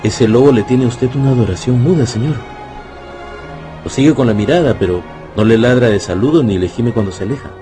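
An actor playing a respectful, plain-spoken helper in a radio drama, earnest and deferential. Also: male voice, medium pitch, a little fast, music in the background, lightly acted. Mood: respectful awe.

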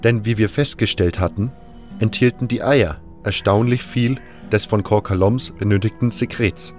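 A man reading a scripted first-person fantasy story as narrator, in a measured, literary delivery. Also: on the low side, soft background music. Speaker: narrator of a fan audio drama.

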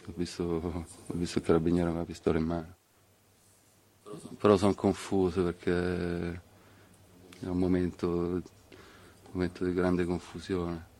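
A man giving eyewitness testimony in a halting way, with pauses and repeated starts. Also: sits low, monotone, mellow. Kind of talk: spoken testimony.

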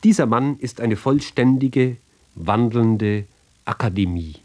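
A man giving a measured literary recitation for radio, speaking deliberately in short phrases with pauses between them. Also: medium pitch, animated, a little mellow. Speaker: reader.

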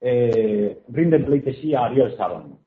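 A man's voice: medium pitch, lively intonation, a little slow. Male interpreter from Spain, mid-range voice, hesitant paraphrasing; lo-fi, phone-like recording with room echo. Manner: paraphrasing each phrase into Spanish, with frequent hesitations. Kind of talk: consecutive interpreting at a book presentation. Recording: echoey hall, tinny phone-like sound.

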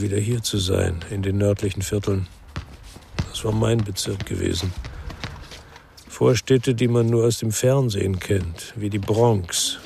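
An actor playing a brooding former policeman who narrates his own crime story in a measured, terse way for radio drama. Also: on the low side, gravelly, warm tone, a little hiss or hum. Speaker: first-person narrator and lead actor.

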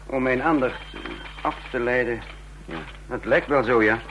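A man's voice: medium pitch, a little slow, a bit bright, slight background noise, rough recording. A man acting in a Dutch radio play as a self-assured intelligence chief, dry and matter-of-fact. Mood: businesslike. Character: self-assured, dry intelligence chief narrating his own spy story.

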